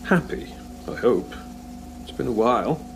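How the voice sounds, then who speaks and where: Wry time-travelling hero. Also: male voice, medium pitch, animated, unhurried, creaky, slight background noise, poor audio. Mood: wistful. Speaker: actor in an audio drama. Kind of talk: audio drama acting.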